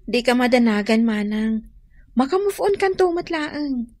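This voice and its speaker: High-voiced, sympathetic Ilocano younger sister. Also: female voice, lively intonation, measured pace, darkish tone, dry recording. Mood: soothing. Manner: consoling. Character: sympathetic younger sister consoling her older sister. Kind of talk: Ilocano radio drama acting.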